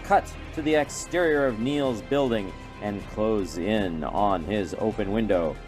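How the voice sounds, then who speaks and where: A man's voice: on the low side, measured pace, a little mellow, faint music behind. A man reading scripted narration for a radio play in a dramatic storytelling manner.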